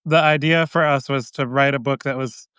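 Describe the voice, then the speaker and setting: A man being interviewed as an author, explaining in a measured way with "um" pauses. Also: medium pitch, steady tone, fairly bright tone, clean tone, dry recording. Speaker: guest author. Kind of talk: interview answers.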